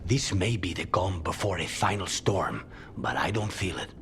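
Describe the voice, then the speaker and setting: Brooding fantasy game assassin: low, breathy, formal and intense, faint background noise. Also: male voice, lively intonation, normal speed, a bit bright. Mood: grim, impatient. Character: brooding, grim male assassin, intense and honour-bound. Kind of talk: scripted fantasy game dialogue.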